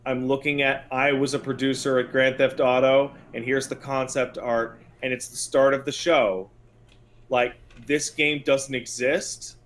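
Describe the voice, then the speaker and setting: A man with a mid-pitched voice talks casually as a podcast co-host. He is blunt and sarcastic, airing his opinions on games with open exasperation. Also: flat delivery, a little slow, a bit bright. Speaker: podcast co-host and video game commentator.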